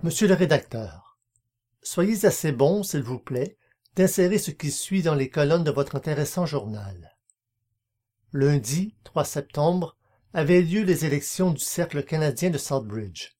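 A podcast narrator reading scripted archival material aloud in a measured, formal manner. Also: mid-range voice, animated, dark tone.

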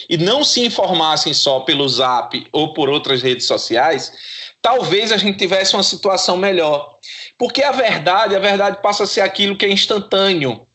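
Bright, higher-pitched Brazilian man, academic. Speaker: psychologist and professor, interview guest. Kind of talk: reflective academic discussion.

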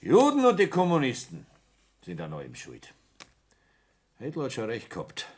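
An actor playing an ambitious, bigoted middle-aged bookkeeper and small-time politician with a Bavarian accent, self-satisfied and insinuating, in a 1960s radio play. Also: male voice, mid-range voice, huge pitch swings, normal speed. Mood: resentful, insinuating. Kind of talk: naturalistic 1960s German radio play acting.

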